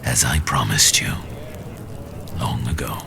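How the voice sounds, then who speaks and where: A man playing a menacing, grandiose rebel warlord in a fantasy audio drama, speaking in a gravelly, whispery growl. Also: extremely low pitch, background noise, heavily acted. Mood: defiant. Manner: slow, grandiose.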